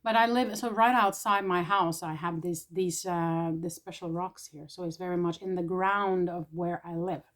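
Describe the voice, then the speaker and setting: A woman talks through spiritual messages in a relaxed vlog. Her delivery is soft and hesitant, full of pauses, and her throat sounds a bit irritated. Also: on the low side. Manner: soft, tentative, pausing often. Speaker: spiritual channeler.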